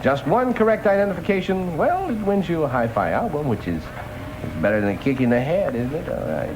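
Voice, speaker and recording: Wisecracking 1960 radio deejay, animated man. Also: on the high side, fairly quick, noisy background. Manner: wisecracking, folksy, joking asides. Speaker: radio disc jockey. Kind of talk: 1960 Top 40 radio patter. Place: radio studio. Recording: vintage 1960 radio broadcast.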